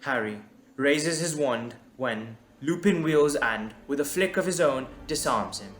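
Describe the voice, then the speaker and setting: A man reading scripted dramatic narration in a deliberate, suspenseful manner. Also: mid-range voice, animated. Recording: echoey, music underneath. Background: music.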